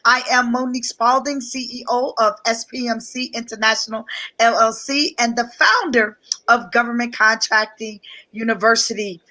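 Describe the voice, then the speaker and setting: A woman with a high, bright voice that has gone a little hoarse. She talks with lively excitement on a live stream, greeting viewers one after another and reading out their comments. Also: normal speed. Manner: energetic, repeats greetings, reads out viewer comments. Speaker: guest business coach. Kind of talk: live-stream promotional talk.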